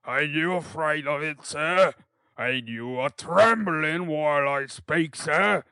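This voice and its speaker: Man acting a loud, pompous parish beadle. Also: a little high, measured pace, no echo. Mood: menacing, imposing. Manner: pompous, declamatory, emphatic. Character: pompous, stern male parish beadle, loud and self-important.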